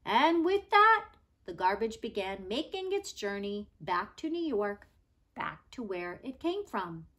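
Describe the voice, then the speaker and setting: A woman narrating a read-aloud story expressively, with dramatic pauses and emphatic stresses. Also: medium pitch, big pitch swings, fairly bright tone. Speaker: reader of a children's non-fiction book.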